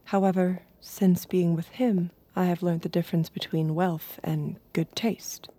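A woman playing an obedient, observant android escort, speaking in a measured, intimate way in an audio drama. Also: on the low side, fairly even intonation, warm tone, no room sound. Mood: detached, wistful. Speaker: narrator and lead character.

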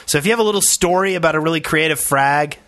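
A man's voice: fairly high pitch, fast talker, a bit bright, a little hiss or hum. A man co-hosting a podcast in casual, conversational chat. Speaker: podcast co-host.

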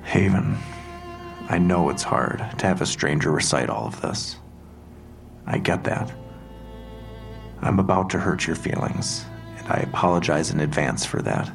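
An actor playing a calm, blunt police detective who questions someone in a measured, grave manner. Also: male voice, extremely low pitch, gravelly, heavily acted. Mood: blunt, controlled. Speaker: police detective in an audio drama. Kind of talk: dramatic interrogation dialogue. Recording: music under the voice.

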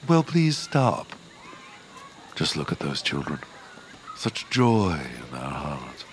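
A pompous, scheming land baron in a comic audio drama, his voice swooping extravagantly over grand, drawn-out phrases. He is greedy and theatrical, with faint noise behind him. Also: very deep voice, wildly swooping pitch, heavily acted. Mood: irritated, haughty, then mock wistful.

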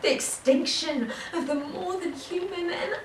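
A woman playing an anguished, yearning young sister in an audio play, speaking in a halting, confessional, emotional way. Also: very high-pitched. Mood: tearful despair. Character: anguished, yearning young sister pouring out despair and forbidden love. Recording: roomy, distant mic, light noise.

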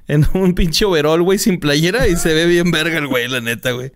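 A young Mexican man joking around as a podcast co-host. His voice sits a little high, and he keeps tossing slang into his lines. He is quick to laugh. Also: a bit bright. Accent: Mexican Spanish. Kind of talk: casual chat.

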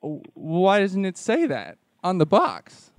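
Man playing a hesitant, high-voiced worried dad. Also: huge pitch swings, no echo, studio quality, heavily acted. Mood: hesitant, confused. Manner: hesitant, stammering, slow on the uptake. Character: naive worried father of an allergic son.

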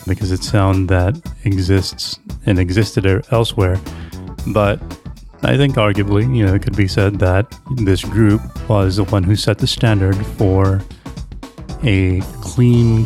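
A man's voice: sits low, a little mellow. A man hosting a casual discussion, posing questions to a panel in a conversational way. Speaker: podcast host.

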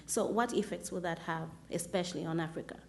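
A woman's voice: fairly low pitch, quick pace, creaky, a little hiss or hum. A woman from Zambia, an audience member asking the panel an earnest question at a public forum.

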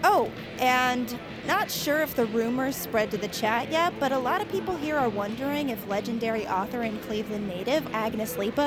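Woman playing a high-voiced, perky young superfan. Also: close to an everyday voice. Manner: brisk, perky. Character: upbeat, bossy young superfan and livestreamer. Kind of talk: audio drama, chirpy livestream patter.

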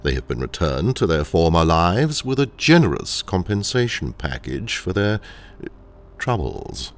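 A very deep-voiced, gravelly corporate villain in an audio drama. He talks slowly and with polite condescension, his calm menace carried on widely swooping intonation. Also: exaggerated voice. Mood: calm, reassuring menace. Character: sinister, ruthless male corporate trainer, calmly condescending. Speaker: training engineer in an audio drama.

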